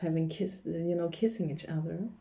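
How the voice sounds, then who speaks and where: Female tarot reader, warm dark tone, casual and giggly. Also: lowish voice, steady tone, moderate pace, vocal fry. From Poland. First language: Polish. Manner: chatty, laughs often, gropes for English words. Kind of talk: casual tarot reading to camera.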